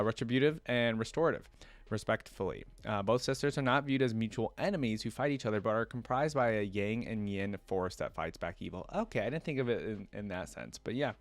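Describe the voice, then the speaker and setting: Guy with a lowish voice reading stuff out for a reaction vid, chatty little asides, really dry room. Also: no echo. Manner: interjects short asides to himself while reading. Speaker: reaction video host. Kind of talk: reading trivia aloud with casual off-the-cuff reactions.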